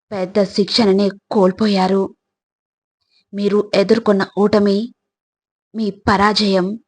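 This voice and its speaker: A woman reading scripted motivational narration in a deliberate, instructive way, addressing the listener directly. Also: medium pitch.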